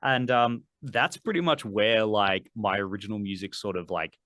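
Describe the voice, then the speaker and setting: A man's voice: fairly low pitch, normal speed, a bit bright, dry recording. A man in his thirties, a metal vocalist guest on a podcast, chatting casually in a rambling way with lots of fillers and casual swearing. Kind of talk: casual interview chat.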